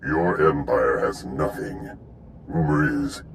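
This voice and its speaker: A man voicing a menacing Hutt crime lord and spymaster in a video game, slow, grandiose and smug, with a gravelly rumble. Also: a bit bright, exaggerated voice. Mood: smug menace. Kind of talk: video game villain acting.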